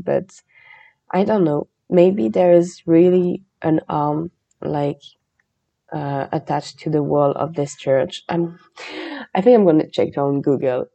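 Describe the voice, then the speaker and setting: A woman hosting a podcast, chatting in a relaxed, meandering way and reading a legend aloud. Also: sits low, unhurried, gravelly, muffled recording. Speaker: podcast host.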